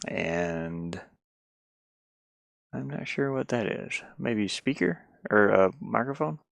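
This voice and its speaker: A man's voice: on the low side, expressive, takes it slowly, vocal fry, a bit bright, no echo. A man live streaming with casual, rambling chat in a scattered, self-deprecating manner. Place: inside a small cluttered van.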